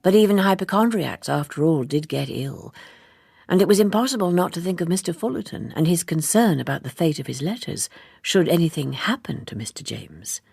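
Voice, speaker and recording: A woman reading literary fiction as an audiobook narrator, in a measured, articulate and wry way. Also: on the low side, expressive, gravelly, darkish tone, breathy edge, no echo, studio quality. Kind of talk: expressive literary scripted narration.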